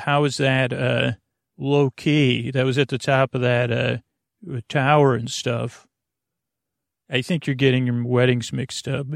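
A man hosting a sleep podcast, rambling off-topic in soothing, creaky tones with many restarts. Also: mid-range voice, no room sound, clean studio sound. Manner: meandering, off-topic, self-interrupting. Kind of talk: rambling bedtime podcast intro.

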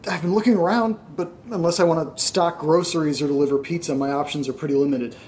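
A man's voice: a little high, fast talker, a little mellow, slight background noise, close to an everyday voice. A man acting an anxious, mopey, sardonic young man in a naturalistic audio drama, speaking hesitantly. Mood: downcast, uneasy.

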